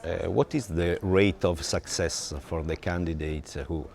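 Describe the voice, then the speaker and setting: Deep voiced guy doing an interview, asks questions in halting non-native english. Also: steady tone, creaky, a little mellow, a little hiss or hum.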